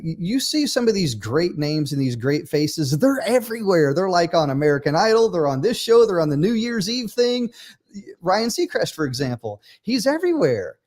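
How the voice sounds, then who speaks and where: A man hosts a motivational livestream, his voice swinging lively through its pitch. He talks earnestly and emphatically, circling back to repeat his key points. It sits a little higher than most men's voices. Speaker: host.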